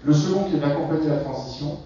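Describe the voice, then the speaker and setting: A man's voice: a little high, mellow. A middle-aged man giving a conference keynote, hesitating often with filler sounds as he explains his points. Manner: hesitant, many filler pauses. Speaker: keynote speaker. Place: large conference hall. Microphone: far 360 camera in the hall. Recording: echoey hall from far off, dull and lo-fi.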